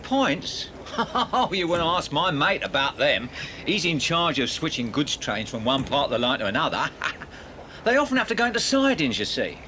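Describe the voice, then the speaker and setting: A casual railway worker. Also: male voice, fairly high pitch, big pitch swings, normal speed, bright, forceful tone, a little hiss or hum. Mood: offhand. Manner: offhand, hesitant fillers, chuckling. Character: easygoing adult male railway worker, offhand. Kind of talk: radio drama acting.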